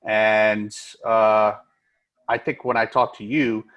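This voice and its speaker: A man's voice: fairly low pitch, takes it slowly, highs cut off. Chatty American man on a video call. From United States. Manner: relaxed, chatty, many "uh" fillers. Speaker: agile coach, co-presenter. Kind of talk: casual presentation on a video call.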